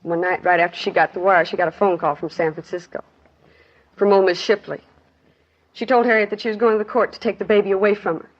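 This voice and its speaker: A woman's voice: medium pitch, fairly even intonation, fast, natural voice. Actress as weary woman in a dull vintage radio drama. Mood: sorrowful. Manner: plain clipped sentences, colloquial grammar. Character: weary working-class woman, grieving witness telling a friend's tragedy. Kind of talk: 1950s radio drama acting. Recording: dull 1950s radio recording.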